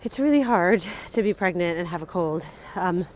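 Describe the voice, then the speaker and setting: A woman's voice: fairly low pitch, expressive, normal speed, darkish tone, poor audio. A young woman from the south of England with a congested cold, chatting through a casual pregnancy vlog in an exasperated, rambling way, full of fillers. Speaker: vlogger.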